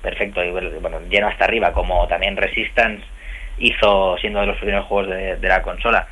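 A man on a podcast panel about video games, talking in quick bursts with restarts over a phone line. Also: deep voice, level tone, pushed and bright. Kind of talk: casual podcast chat.

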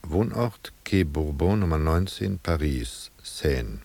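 An official registrar in a radio drama, reading records aloud with cold detachment. His voice is very low, and he takes each clipped entry very slowly. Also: expressive, gravelly, a little mellow, exaggerated voice. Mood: detached, official. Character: impassive asylum registrar reading out admission records.

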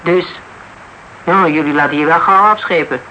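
A noisy radio play recording of a dogged private investigator. The voice is fairly high for a man, clipped and dry, with a wry, questioning manner. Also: moderate pace, pushed and bright, highs cut off. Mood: pressing, sardonic. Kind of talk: Dutch radio drama acting.

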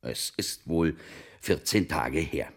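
Radio play role: a shaken, embittered survivor, husky and rock-bottom low, slipping towards whispers. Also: male voice, lively intonation, takes it slowly, exaggerated voice. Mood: bitter, hushed. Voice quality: gravelly, husky bass that drops into whispers. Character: shaken, bitter man who survived a hired killer. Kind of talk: German radio drama acting.

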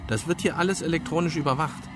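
A man's voice: fairly high pitch, fast, over music, lightly acted. A man acting in a radio play as a fussy, indignant customer with an officious, condescending manner. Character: fussy, indignant, snobbish male department-store customer. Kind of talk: German radio play dialogue.

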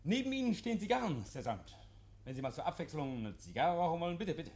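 An actor in a 1950s German radio play, playing a nervous, evasive private scholar who talks in a fussy, pedantic, over-polite and agitated way. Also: male voice, fairly high pitch, very animated, fast, darkish tone. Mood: probing. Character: nervous, evasive male private scholar hosting policemen, defensive and testy. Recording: vintage 1951 radio drama recording.

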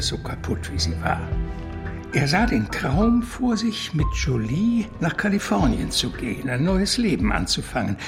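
An actor playing a cultured, anguished witness from the art world in a German radio drama, speaking in deliberate, formal phrases and justifying himself. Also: male voice, on the high side. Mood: earnest, agitated.

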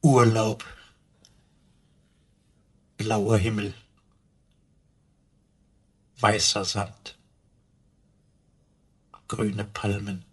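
A man's voice: lowish voice, flat delivery, drawn-out words, pauses often, husky, full character voice. A man playing a cheeky, pedantic talking computer sidekick in a radio play, with flowery, mock-pompous speech. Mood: dreamy.

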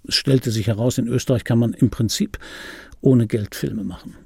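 A middle-aged man with a mellow, gravelly voice recorded close and dry. He muses aloud as a wry, world-weary dubbing actor in a radio play. His delivery is laconic and intimate, like private reminiscing. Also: mid-range voice, steady tone, moderate pace, no room sound. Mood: wry, reflective. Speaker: radio play actor, first-person narrator.

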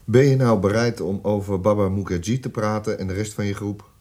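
A man acting in a radio drama as a cold, methodical police interrogator with a measured, official way of talking. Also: on the low side, steady tone, darkish tone, lightly acted. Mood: cold, pressing. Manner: measured, insistent, official questioning. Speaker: actor.

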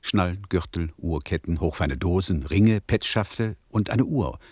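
An actor playing a wheedling old peddler with a husky voice in a radio play. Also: male voice, low-pitched, expressive, a little slow, darkish tone, dry recording, clean studio sound, exaggerated voice. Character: wheedling old male peddler flattering customers. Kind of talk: German radio play acting.

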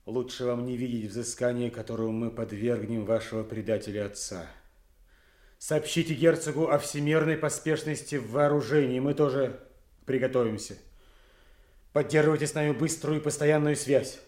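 An actor playing a cruel, commanding duke in a classical audio tragedy, menacing and wrathful. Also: male voice, medium pitch, fairly even intonation, normal speed, darkish tone, natural voice. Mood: cold command. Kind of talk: classical stage tragedy acting. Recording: roomy, noisy old radio play recording.

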